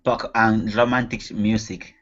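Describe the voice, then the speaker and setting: A man from Venezuela with a Venezuelan Spanish accent, a student speaking halting English and joking with laughs over a video call. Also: lowish voice, flat delivery, fairly bright tone. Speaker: language student. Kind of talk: casual chat in class. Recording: dull lo-fi video call audio.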